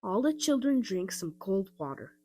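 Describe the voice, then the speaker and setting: A woman narrating a children's audiobook in an expressive storytelling style. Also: mid-range voice, normal speed, soft background music. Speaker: storybook reader.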